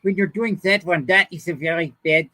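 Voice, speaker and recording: Man putting on a bright-voiced nasty German villain. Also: sits high, fairly even intonation, normal speed, no room sound, voice pushed up. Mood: menacing, mock-polite. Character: nasty German Nazi villain bent on world domination.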